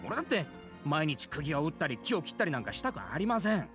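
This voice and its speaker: Fast-talking, weary game villager. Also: male voice, a little high, big pitch swings, a bit bright, soft background music. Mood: weary, earnest. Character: weary, dissatisfied working family man in fantasy game. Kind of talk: Japanese video game voice acting.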